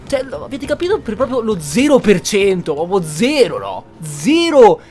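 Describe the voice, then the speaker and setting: A man's voice: high-pitched, wildly swooping pitch, normal speed, a little mellow, soft background music. An Italian man giving casual commentary on a roleplay game playthrough. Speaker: game streamer.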